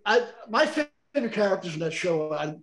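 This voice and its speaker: A man's voice: sits high, sing-song, normal speed, slight background noise. A man hosting a podcast in casual chat over a video call, rambling with lots of stumbling restarts. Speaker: podcast host.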